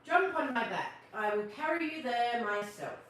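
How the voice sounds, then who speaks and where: A woman's voice: fairly high pitch, animated, moderate pace, fairly bright tone. A woman voicing a wise, kindly male owl who leads the forest birds in a children's storybook, thoughtful and reassuring. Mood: encouraging, kind. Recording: distant, very echoey, faint noise.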